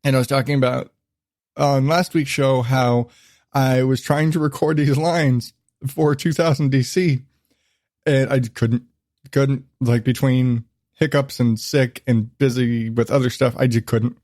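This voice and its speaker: A man's voice: medium pitch, fairly even intonation, a little slow, a little mellow, no room sound. A man hosting his own podcast, chatting in a loose, rambling way and cutting himself off mid-thought. He swears easily and sounds like he is still shaking off a cold. The sound is close and dry, with no room around it. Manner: rambling, swears freely, self-interrupting. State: recovering from illness, lingering cough. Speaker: podcast host. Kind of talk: casual solo podcast chat.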